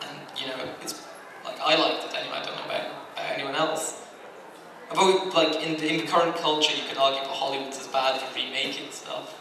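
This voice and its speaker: Higher-pitched man, flat delivery, heavy echo. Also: quick pace. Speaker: convention panelist from anime industry. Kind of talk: informal panel discussion. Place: convention panel room, picked up from afar. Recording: very echoey room, distant mic, noisy.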